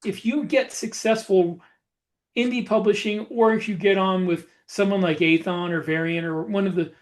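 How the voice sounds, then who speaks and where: A man's voice: high-pitched, steady tone, normal speed. A man co-hosting a podcast, explaining things in a casual, matter-of-fact way. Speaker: podcast co-host, indie author. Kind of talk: casual advisory chat.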